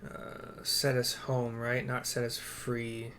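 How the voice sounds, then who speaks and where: A man's voice: medium pitch, monotone, takes it slowly, a bit bright. Rambling man gaming, faint keyboard noise. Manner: muttering, rambling to himself. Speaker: gaming streamer. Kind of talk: casual game commentary.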